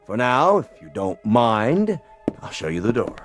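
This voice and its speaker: A man's voice: medium pitch, huge pitch swings, a little slow, soft background music. An actor playing a condescending, sardonic, wealthy older man in a television drama, talking in a mocking and patronizing way. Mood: amused, mocking.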